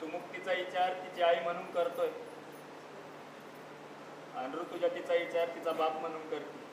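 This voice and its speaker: A man playing a humble, conciliatory village family man in a live stage play, plain-spoken, heartfelt and deliberate. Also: on the high side, flat delivery, lightly acted. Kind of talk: live one-act stage play acting. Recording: echoey distant stage recording with noise.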